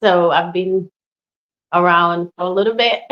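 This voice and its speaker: A woman's voice: fairly low pitch, slow pace, dull audio. A woman in her thirties from Haiti, chatting casually and effusively about herself as an interview guest. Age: 30s. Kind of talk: casual personal chat.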